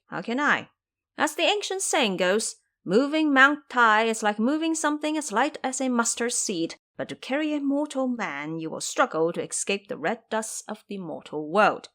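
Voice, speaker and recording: A woman's voice: on the high side, a bit bright, pitched above the performer's own voice. A woman voicing a clever, boastful male monkey warrior in an audio drama, brash and proud in manner. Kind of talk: audio drama character acting.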